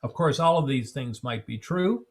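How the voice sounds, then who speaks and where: Guy moderating a zoom panel, steady mid voice, lots of uhs. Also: medium pitch, creaky. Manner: measured, frequent fillers. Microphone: video call.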